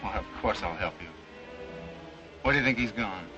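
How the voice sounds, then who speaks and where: A man plays a gallant, steady leading man from a 1930s film. His lowish voice is brisk and reassuring, heard dull and distant on an old soundtrack with music behind. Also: natural voice. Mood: concerned, resolute.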